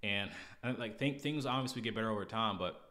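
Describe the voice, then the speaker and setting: Bright-voiced American man, blunt and slangy. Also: fairly low pitch, brisk. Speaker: podcast host. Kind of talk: casual opinion commentary.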